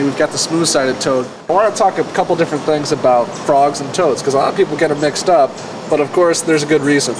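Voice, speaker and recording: A man gives an informal educational talk in the role of a zoo keeper. He explains things in a casual, conversational way. There is clear background noise, like a ventilation hum, around his voice. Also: fairly high pitch. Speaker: zoo amphibian keeper presenting exhibits. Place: zoo exhibit area.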